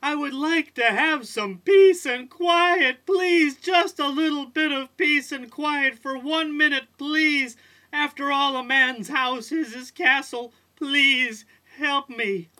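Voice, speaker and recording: A performer playing a weary man driven mad by the noise in his house, pleading and exasperated, with long drawn-out cries in a told folktale. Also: mid-range voice, expressive, sharp tone, exaggerated voice. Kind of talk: acted folktale storytelling.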